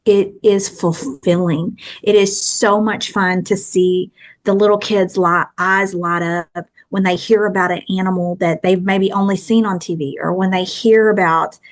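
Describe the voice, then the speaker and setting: A woman's voice: mid-range voice, steady tone, a little slow. A woman in her fifties from Texas with a thick Texan accent, chatting earnestly at length as a guest in an interview. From Texas, USA. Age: 50s. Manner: earnest and chatty, long rambling answers. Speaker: guest author. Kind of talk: casual interview chat.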